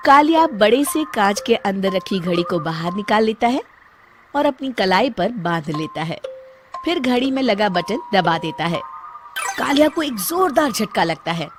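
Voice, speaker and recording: Lively woman story narrator. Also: mid-range voice, moderate pace, over music. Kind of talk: scripted children's story narration. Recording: clearly noisy background.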